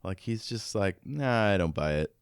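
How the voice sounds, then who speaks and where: A man's voice: lowish voice, animated, normal speed, clean tone, no room sound, studio quality. A man co-hosting a podcast, casually discussing a book in an informal, musing way. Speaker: podcast co-host.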